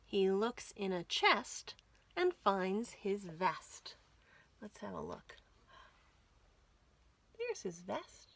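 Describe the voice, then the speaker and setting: A woman hosting a children's storytime, reading picture books aloud in an expressive, sing-song way. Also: mid-range voice, very slow, long pauses. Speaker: children's library storytime host. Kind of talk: animated picture-book read-aloud for young children.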